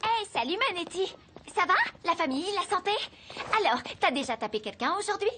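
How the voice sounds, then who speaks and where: A woman voicing a sassy, quick-witted schoolgirl in a French-dubbed cartoon. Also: very high voice, big pitch swings, pushed and bright, a little hiss or hum, exaggerated voice. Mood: teasing, chatty.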